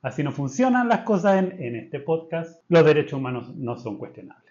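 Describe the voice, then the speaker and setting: A man's voice: on the high side, very animated, fairly quick, darkish tone. Man co-hosting a podcast. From Chile. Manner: thinks aloud with restarts, firm on principles. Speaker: podcast co-host. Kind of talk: casual podcast chat. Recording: slightly dull lo-fi sound.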